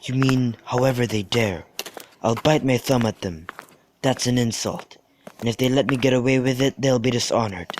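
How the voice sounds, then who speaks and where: A man's voice: medium pitch, moderate pace, a little mellow, a little hiss or hum, lightly acted. A man playing a bragging, crude young servant itching for a brawl in an amateur student radio drama. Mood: provoking.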